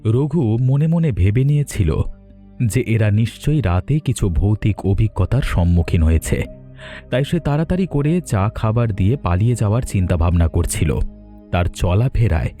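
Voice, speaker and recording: A man narrates a scripted horror cartoon tale. His voice is warm and mellow with a widely varied intonation, and soft music runs underneath. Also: on the low side, animated, moderate pace.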